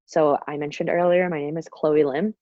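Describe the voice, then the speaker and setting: A young woman from Shanghai hosts a student webinar over a video call, talking casually with lots of "kind of" and "um." Her voice sits very low. The sound is dry and muffled, like laptop call audio. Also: fairly even intonation, moderate pace, mellow, no room sound. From Shanghai, China. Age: young adult. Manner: chatty, many fillers and "kind of". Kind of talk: casual presentation.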